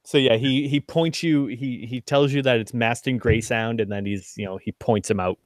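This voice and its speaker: A man runs a tabletop game in an ordinary mid-range voice. He narrates casually and haltingly, slipping in self-deprecating asides, with a dry, room-free sound. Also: mid-range voice, fairly even intonation, dry recording. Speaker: game master.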